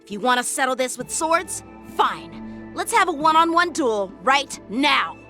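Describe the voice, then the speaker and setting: An earnest young boy warrior in a video game. The voice is high and bright, swinging widely with wounded pride, yet measured in pace. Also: sing-song, soft background music, heavily acted. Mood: indignant. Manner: earnest, indignant, formal. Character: proud, earnest teenage boy swordsman.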